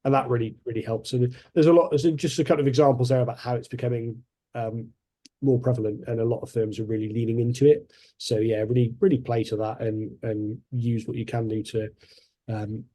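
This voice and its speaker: Male panellist, warm low-key tone, hesitant and rambling delivery. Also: flat delivery, fairly quick. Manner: rambling, hesitant, many restarts. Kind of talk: panel discussion.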